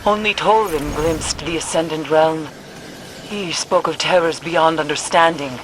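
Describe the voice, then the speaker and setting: A woman voicing a solemn, cryptic alien mystic in a video game, with measured delivery. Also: deep voice, noisy background. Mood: solemn warning.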